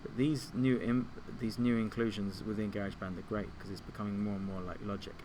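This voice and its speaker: A man giving an informal step-by-step software tutorial as a music tutor, thinking aloud a little hesitantly. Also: on the low side, level tone, a little fast, noisy background.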